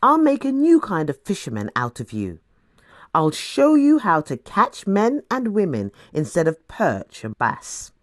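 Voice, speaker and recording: A woman voicing Jesus in a scripture story as a firm, authoritative male teacher who speaks deliberately. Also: medium pitch, huge pitch swings, no echo, clean studio sound. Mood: inviting.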